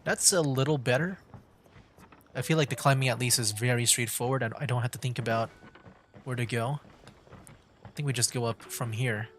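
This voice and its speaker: A man's voice: medium pitch, normal speed, long pauses, a bit bright, a little hiss or hum. A man livestreaming a video game, rambling casually and thinking aloud as he plays. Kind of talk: casual gameplay commentary. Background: game music.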